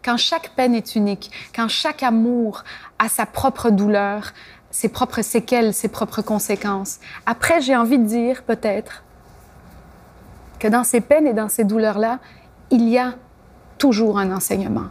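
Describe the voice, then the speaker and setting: A woman guest on an interview, speaking in a slightly high voice. She tells stories thoughtfully, pausing and searching for words as she reflects. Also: steady tone, darkish tone.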